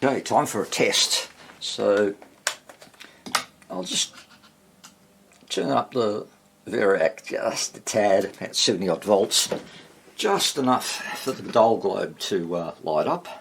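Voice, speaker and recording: Man muttering through repairs, lively gravelly voice. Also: lowish voice, slow pace, long pauses, a little hiss or hum. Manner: rambling, thinking aloud while working. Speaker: hobbyist radio restorer presenting own repair. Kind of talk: casual unscripted workbench commentary. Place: indoor workbench.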